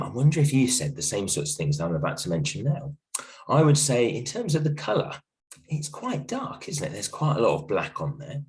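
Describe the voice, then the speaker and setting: A male teacher with a croaky voice from illness, giving a gentle, reassuring video lesson from home with many ums and restarts. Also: fairly low pitch. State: croaky voice from a cold, coughing. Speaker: primary school teacher. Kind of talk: recorded video lesson for a class.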